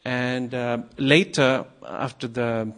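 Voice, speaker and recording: Slow, halting man from Iceland preaching. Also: mid-range voice, fairly even intonation, a bit bright. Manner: halting, many ums and uhs, nervous. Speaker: guest preacher. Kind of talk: sermon to a congregation.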